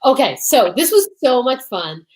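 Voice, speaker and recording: A woman's voice: sits high, sing-song, moderate pace, a little mellow. A woman co-hosting a casual comedy chat show in a joking, theatrical manner. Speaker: co-host.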